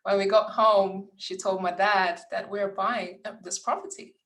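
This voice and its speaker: A woman's voice: medium pitch, flat delivery, a little slow, a bit bright, low-quality recording. A woman, hosting a summit and telling a personal story in an earnest, encouraging way. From Lithuania. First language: Lithuanian. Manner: earnest and encouraging, occasional restarts. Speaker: summit host. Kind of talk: inspirational welcome speech with personal story.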